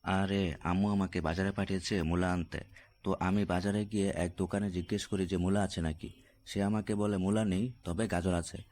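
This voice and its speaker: A man playing a naive grown boy in a cartoon, with a deep voice. He talks colloquially and plaintively, like a son grumbling to a friend. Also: level tone, moderate pace, a little mellow, natural voice. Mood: aggrieved. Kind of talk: casual cartoon dialogue.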